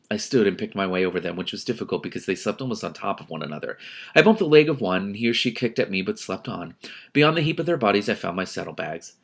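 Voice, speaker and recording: An expressive, excitable man reading a book aloud as a host, breaking in with his own commentary. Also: on the low side, vocal fry. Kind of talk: expressive audiobook-style reading with spontaneous commentary.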